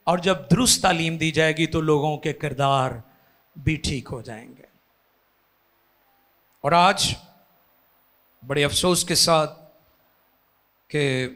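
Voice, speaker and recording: An impassioned pastor in his forties preaches into a handheld mic on a church stage. His voice is high and bright, a gravelly edge, and he swells into loud, fervent peaks. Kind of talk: sermon. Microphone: handheld microphone.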